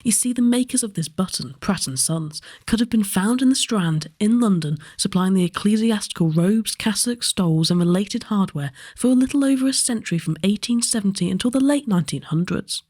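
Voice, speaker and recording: Mellow, slightly husky female narrator. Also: medium pitch, expressive, brisk, few pauses. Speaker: history presenter. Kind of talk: scripted narration.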